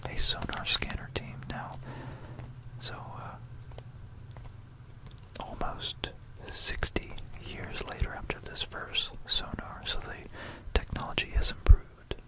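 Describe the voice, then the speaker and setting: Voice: slow, rough recording. An ASMR presenter narrating history in a whisper, musing aloud between passages, close to a stereo microphone. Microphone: close stereo binaural microphone.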